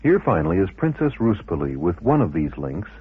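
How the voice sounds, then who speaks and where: A man's voice: low voice, very animated, fairly quick, breathy edge. A man reading formal scripted documentary narration on a muffled, narrow-band old radio recording.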